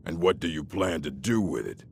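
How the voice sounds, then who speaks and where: An actor voicing a stern, grumpy old orc librarian in a fantasy game, gruff and contemptuous, with a gravelly voice. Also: male voice, rumbling low, lively intonation, moderate pace, slight background noise, heavily acted. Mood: stern, threatening. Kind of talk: fantasy video game voice acting.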